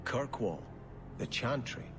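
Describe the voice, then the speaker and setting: An actor playing a devout exiled prince torn between faith and throne in a video game, speaking earnestly and in measured phrases. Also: male voice, medium pitch, animated, over music. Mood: earnest, conflicted.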